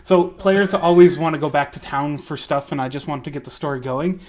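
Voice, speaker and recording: A man's voice: fairly high pitch, fairly quick, darkish tone. A man co-hosting a drawing critique stream, chatting playfully and laughing often. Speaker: co-host of an art critique stream. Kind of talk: casual group chat.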